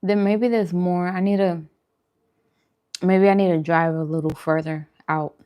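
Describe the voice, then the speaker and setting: A woman's voice: fairly low pitch, fairly even intonation, unhurried, dark tone, clear, resonant tone. A woman hosting a mukbang, chatting casually to viewers between bites with murmured reactions. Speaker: mukbang host. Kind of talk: casual eating chat to viewers.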